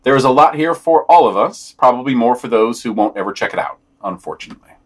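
Husky man with lively, swinging intonation. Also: medium pitch, fast. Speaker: podcast reader. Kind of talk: scripted podcast announcements.

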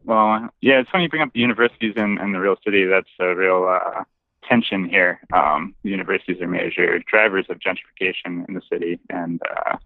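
Low creaky guy chatting on a podcast call, kinda muffled. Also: steady tone, fairly quick, no echo. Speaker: podcast guest. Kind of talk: casual chat. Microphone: remote call. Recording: dull band-limited remote call.

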